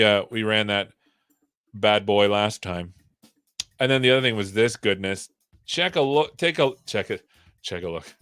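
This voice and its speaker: A man's voice: on the low side, pushed and bright, clean tone, no room sound. A man hosting a casual livestream, rambling playfully with jokey asides. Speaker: live show host. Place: own room at home.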